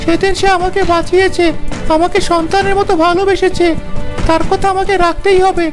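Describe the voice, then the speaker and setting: Very high-voiced young flying horse, played by a woman. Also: level tone, a little fast, over music. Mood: earnest, resolute. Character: young flying horse, earnest, loyal, caring. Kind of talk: Bengali fairy-tale cartoon dubbing.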